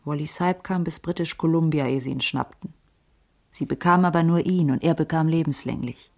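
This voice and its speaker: Low, gravelly, world-weary streetwise woman. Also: a little fast, darkish tone. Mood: knowing, matter-of-fact. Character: careworn, streetwise female ex-cop with a tip. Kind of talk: radio drama dialogue, hard-boiled crime.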